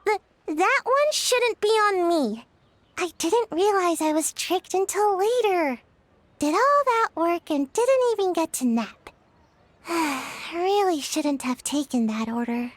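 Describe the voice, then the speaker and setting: A woman's voice: very high voice, exaggerated voice. A woman voicing a small, sheepish shapeshifting child in an anime game, hesitant and defensive in a childlike voice. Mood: sheepish, grumbling. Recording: game audio with faint music.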